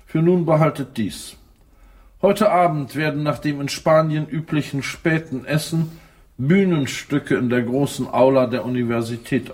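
An actor playing an arrogant, imperious English earl in a German radio play, pompous and formally commanding. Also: male voice, on the high side, darkish tone, natural voice.

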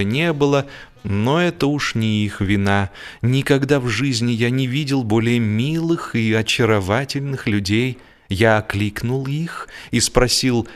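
Male audiobook narrator for comic classic prose: mid-range voice, expressive, mock-earnest, music in the background. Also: medium pitch. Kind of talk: scripted literary narration of humorous fiction.

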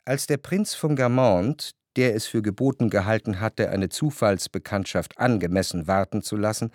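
A male actor plays a reflective gentleman narrator looking back on his life. The voice is fairly low and recorded very dry. Long literary sentences unfold with measured, articulate care, as in a radio drama. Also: no echo, close to an everyday voice.

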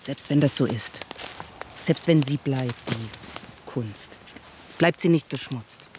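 A woman's voice: rumbling low, slow, darkish tone, slight background noise. An actress playing a skeptical, ironic wife who questions her husband's story in a radio drama. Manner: skeptical, probing, sometimes whispering.

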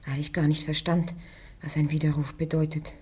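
A woman's voice: low-pitched, level tone, normal speed, warm tone, slightly rough. An actress playing a stubborn, plain-spoken young woman prisoner on trial in a German radio play. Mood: defiant.

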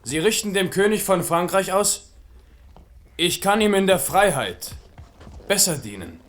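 A man's voice: high-pitched, animated, a little slow, a bit bright. An actor playing a confident, magnanimous young king in a radio drama, speaking decisively and in a declamatory way. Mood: wry, commanding.

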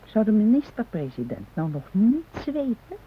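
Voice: mid-range voice, very animated, moderate pace, lightly acted. An actor playing a nervous, hesitant hostage in a radio drama, stammering and seeking reassurance. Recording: noisy, narrow-band old radio recording.

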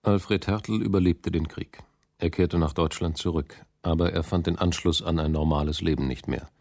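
A man with a low voice narrates in a very dry studio. His delivery is sober and matter-of-fact, like a documentary report within a radio play. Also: steady tone, moderate pace, creaky, a little mellow, dry recording.

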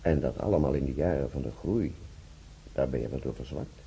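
Very deep, kindly paternal doctor. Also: male voice, a little fast, vocal fry, dark tone, slight background noise. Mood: paternal, reassuring. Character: kindly, paternal hospital doctor, young man. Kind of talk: radio drama acting.

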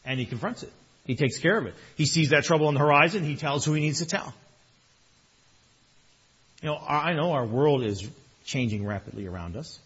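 A male pastor preaching a sermon in a conversational way, his voice a little strained, swinging between quiet and loud. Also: a little high, expressive, a little fast, long pauses, breathy edge, highs cut off. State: slightly strained voice.

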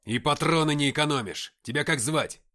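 A man voicing a gruff, commanding army captain who barks blunt orders in the heat of battle in an audiobook. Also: a little high, a little fast, pitched above the performer's own voice. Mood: gruff reproach. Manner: barking, forceful, blunt.

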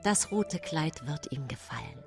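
An actress playing a scheming, sensual nineteenth-century widow in a radio drama monologue, intimate and breathy in her confiding. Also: deep voice, expressive, unhurried, exaggerated voice. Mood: eager longing. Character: scheming early-19th-century German widow, sensual and self-satisfied. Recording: music bed behind the voice. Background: music.

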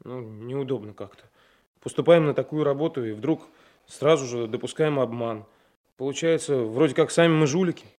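An actor as an earnest, hesitant young lad. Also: male voice, medium pitch, moderate pace, a little mellow, natural voice. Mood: reluctant, earnest. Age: young adult. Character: shy, honest, stubborn eighteen-year-old working-class lad. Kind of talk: radio drama acting.

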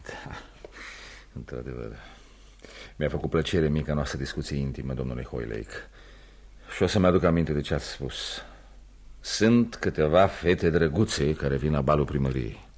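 An actor in a radio play voicing an ambitious, polite young man with an earnest, measured delivery. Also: a bass voice, darkish tone, slightly rough, full character voice. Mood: polite, guarded. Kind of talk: Romanian radio theatre acting.

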